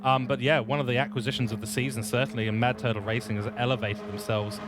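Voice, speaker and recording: Male lead race commentator, lively and playful, mid-pitched, noisy background. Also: fast talker. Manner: lively, self-deprecating, playful asides. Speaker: sim racing lead commentator. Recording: steady background noise.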